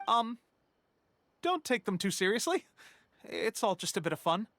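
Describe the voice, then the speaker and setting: A woman's voice: mid-range voice, sing-song, normal speed, a bit bright. A woman voicing a nervous, deferential bookseller in an anime-style video game, polite and hesitant.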